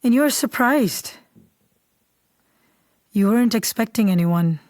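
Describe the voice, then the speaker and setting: A woman leading a guided meditation in a calm, unhurried, soothing way, softly and at times almost whispering. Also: fairly high pitch, no room sound, studio quality. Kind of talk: guided meditation, slow scripted instruction.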